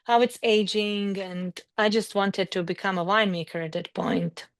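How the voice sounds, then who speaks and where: A woman from Bosnia with a Bosnian accent, a winemaker guest speaking friendly, slightly halting English on a video call. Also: mid-range voice, fairly even intonation, no room sound.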